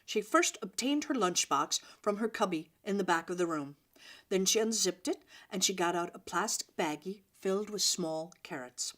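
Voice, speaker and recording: Expressive woman reading a children's story. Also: mid-range voice. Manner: dramatic, with pauses and emphasis.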